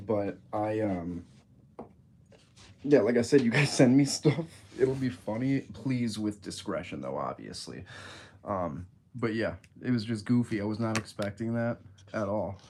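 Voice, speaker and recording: Stuffy-nosed low-voiced man streaming. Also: lively intonation, a little slow, vocal fry, long pauses, darkish tone, slight background noise. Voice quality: nasal, stuffy. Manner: rambling, joking, easily sidetracked. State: congested from a cold. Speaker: livestreamer. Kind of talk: casual livestream chat. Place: his home room.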